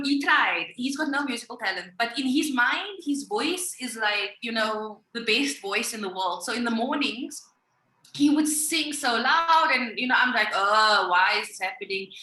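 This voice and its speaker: A woman from Africa co-hosting a casual panel chat, telling stories in a lively, animated way. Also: a little high. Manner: lively, animated storyteller with bursts of speed. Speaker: co-host of a women's panel. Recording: echoey room.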